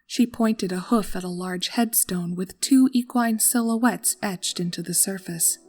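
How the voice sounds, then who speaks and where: A woman reading a horror story aloud in a calm, steady narrating voice. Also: mid-range voice, measured pace.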